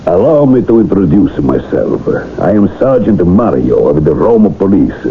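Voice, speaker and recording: An actor playing a stern Italian police sergeant in a radio drama, formal, deliberate and ominous. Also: male voice, low-pitched, big pitch swings. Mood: cool menace. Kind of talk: 1980s radio drama acting. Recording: muffled, narrow-band vintage radio with hiss.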